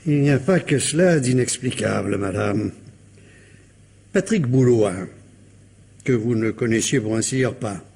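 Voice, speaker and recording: Doubting detective chief, husky tone, lively melodic questioning, dry irony, radio mystery. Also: male voice, medium pitch, measured pace, pauses often, full character voice. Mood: sceptical, probing. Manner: ironic, formal, insistent. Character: probing, sceptical, coolly insistent police commissioner. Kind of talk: French radio mystery drama acting.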